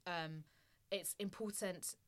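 Guest, woman from Somalia; low-pitched, casual, thoughtful, filler-heavy delivery. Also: fairly even intonation, slow pace. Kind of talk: casual conversation.

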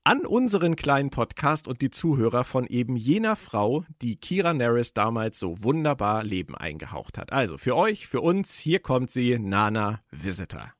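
A man with a lilt hosts a podcast in an easygoing, enthusiastic way. He speaks at a middle pitch, recorded close and dry with no room sound. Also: sing-song, moderate pace, few pauses, dry recording. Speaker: podcast host. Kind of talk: casual podcast chat.

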